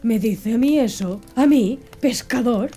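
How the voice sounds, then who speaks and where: A woman's voice: on the high side, measured pace, warm tone, soft background music. A woman voicing a blunt, unimpressed, food-minded harpooner man in an audiobook. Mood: gruff, dismissive. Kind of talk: audiobook character dialogue.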